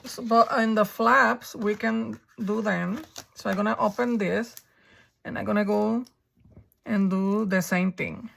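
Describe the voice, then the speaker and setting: A woman with a raspy, hoarse voice from a coming flu, chatting her way through a crafting tutorial and thinking aloud as she works. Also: medium pitch, fairly even intonation, a little slow, a bit bright. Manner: chatty, thinking aloud while working, frequent ums. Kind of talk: casual step-by-step crafting tutorial.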